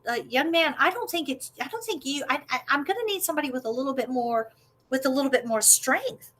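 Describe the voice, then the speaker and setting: A woman's voice: high voice, expressive, fairly bright tone, voice pushed up. A woman voicing a doubtful king who hesitates and stammers before letting a boy fight. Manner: hesitant, halting.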